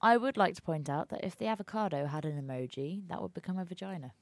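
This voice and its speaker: Chatty young woman, lowish voice. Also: no room sound. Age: young adult. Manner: chatty, melodramatic, quick storytelling. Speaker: podcast co-host. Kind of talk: casual chat.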